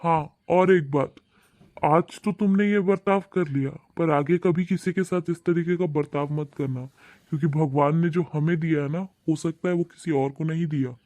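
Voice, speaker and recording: A man's voice: on the high side, steady tone, normal speed, darkish tone, no room sound, natural voice. A man voicing a kind young husband in a cartoon, speaking earnestly and in a lecturing way. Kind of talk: cartoon voice acting.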